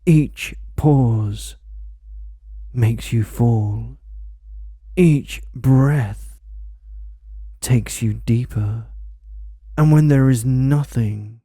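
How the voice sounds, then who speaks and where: A man delivers a commanding hypnosis script at a very slow, deliberate pace. His voice is deeply dark and mellow, sitting at an ordinary pitch, and the recording is dry and close with no room sound. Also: no room sound. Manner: dominant, commanding, repetitive. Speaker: hypnosis audio creator.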